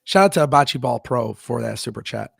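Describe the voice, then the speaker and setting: A man's voice: medium pitch, lively intonation, a little fast, creaky, husky, no room sound, studio quality. A man hosting a live wrestling talk stream, speaking emphatically and reading out viewer messages. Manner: emphatic, repeats words, reads viewer messages aloud. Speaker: wrestling show host. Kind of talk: casual live-stream chat.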